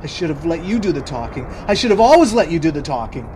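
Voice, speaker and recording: A man's voice: a little high, big pitch swings, quick pace, warm tone, a little hiss or hum. A man playing a jittery paranoid young man. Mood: anxious. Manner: jittery, anxious. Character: paranoid young man named Monty, high on crack. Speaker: narrator and lead character. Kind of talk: amateur audio drama.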